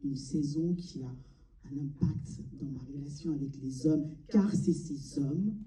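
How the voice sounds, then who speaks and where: A woman from Mali delivering a solemn, deliberate theatrical monologue, pausing between short phrases. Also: low-pitched, warm tone, slight background noise. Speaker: amateur stage performer. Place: large cinema auditorium before an audience. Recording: echoey hall, distant mic.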